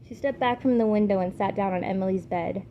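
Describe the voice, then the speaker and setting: A woman's voice: medium pitch, a little fast, dull audio. Woman reading a novel, noisy home recording. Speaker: amateur story reader. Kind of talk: scripted narration, reading a novel aloud. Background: background noises.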